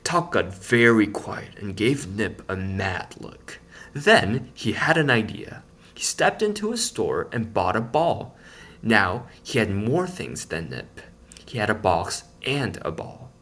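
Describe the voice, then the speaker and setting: A man reading a children's picture book aloud in an expressive, playful storytelling manner. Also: lowish voice.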